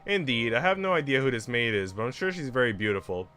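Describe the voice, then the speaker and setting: A man voicing a tender, teasing young lady in a visual novel, speaking softly, formally and coyly. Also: medium pitch, expressive, quick pace, faint music behind. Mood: teasing, gentle. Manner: soft, formal, coy. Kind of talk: visual novel character reading.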